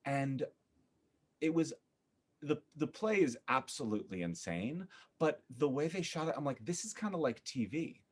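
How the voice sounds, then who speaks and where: A man speaking conversationally as a podcast guest about theater, thoughtful and halting, often repeating words as he searches for phrasing. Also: a little high, animated, slightly rough.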